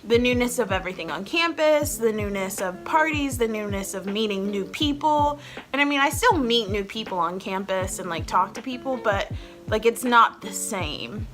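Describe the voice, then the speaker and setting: A woman's voice: mid-range voice, lively intonation, normal speed, music in the background. A woman in her twenties chatting casually to camera at home as a vlogger host, rambling and filling her talk with "like". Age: 20s. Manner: chatty, rambling, heavy use of "like" fillers.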